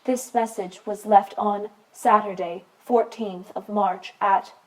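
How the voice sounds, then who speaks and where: Actress for radio play answering machine voice: female, slow, measured, formal and impersonal. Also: medium pitch, steady tone, a little mellow, natural voice, low-quality recording. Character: answering machine announcer reading message dates, impersonal.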